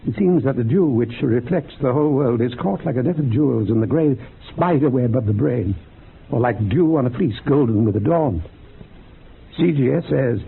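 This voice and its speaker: Gravelly, husky male occult mystic. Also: fairly high pitch, heavily acted. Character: learned male occult yoga teacher, solemn mystic. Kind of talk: radio horror drama acting. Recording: narrow phone-like radio recording.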